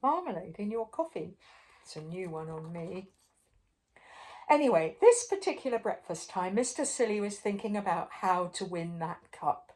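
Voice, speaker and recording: An older woman reads a children's picture book aloud with a very lively, swooping melody to her voice. Her pitch sits in a middle range for a woman. She is playful and expressive, often breaking off to chat to the young listener. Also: moderate pace. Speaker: storyteller.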